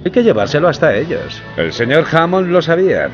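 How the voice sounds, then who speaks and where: Smug, high-pitched corporate executive. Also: male voice, big pitch swings, brisk, muffled recording, soft background music. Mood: smug, persuasive.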